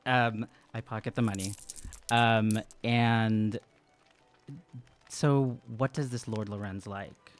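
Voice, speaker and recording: A man's voice: lowish voice, steady tone, takes it slowly, long pauses, faint music behind. A man in his thirties roleplaying casually as a tabletop game player, thoughtful and hesitant, on a close microphone. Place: small indoor studio room. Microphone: close desk microphone on stand.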